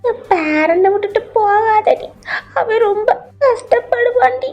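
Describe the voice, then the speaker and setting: Casting: woman playing elderly grandmother for audio drama, very high voice, tearful, loving, pleading and wailing. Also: slow pace, highs cut off, soft background music. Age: older. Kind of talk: acted audio story.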